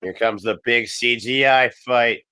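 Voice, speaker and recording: A man's voice: medium pitch, unhurried, bright, forceful tone, smooth, no room sound. Male podcast commentator, leisurely pace, casual chat, very little room sound.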